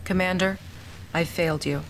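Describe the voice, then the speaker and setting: A woman's voice: on the low side, fairly even intonation, normal speed, a little hiss or hum, lightly acted, poor audio. A woman playing an earnest military intelligence lieutenant in game cutscenes, speaking in a formal briefing manner. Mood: contrite. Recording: music behind the voice.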